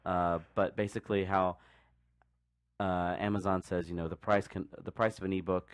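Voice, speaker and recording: Very low-voiced man, rambling podcast talk. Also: flat delivery, clear, resonant tone, dry recording, clean studio sound. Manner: rambling, halting explanations. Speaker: podcast co-host. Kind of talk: casual discussion.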